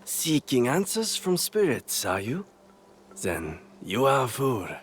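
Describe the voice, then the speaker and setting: A man's voice: mid-range voice, wildly swooping pitch, takes it slowly, background noise. An actor playing a sly, teasing male swordsman in a game cutscene, sardonic and amused. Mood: mocking. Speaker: game character. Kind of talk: video game cutscene acting. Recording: game audio with loud score.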